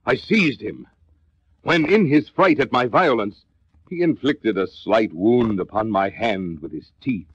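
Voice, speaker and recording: An actor playing a brooding male narrator confessing a Gothic horror tale, in a theatrical, deliberate 1950s dramatic reading with formal diction, on a vintage record. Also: lowish voice, expressive, husky. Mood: brooding, ashamed. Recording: dull vintage 1950s spoken-word record.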